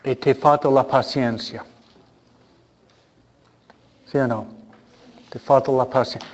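Slow, halting priest preaching. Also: male voice, mid-range voice, steady tone, muffled recording. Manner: unhurried, hesitant, frequent rhetorical questions. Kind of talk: conversational sermon with humor.

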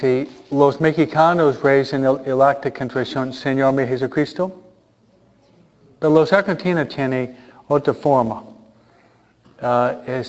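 Slow, halting priest preaching. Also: male voice, medium pitch, highs cut off. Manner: unhurried, hesitant, frequent rhetorical questions. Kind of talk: conversational sermon with humor.

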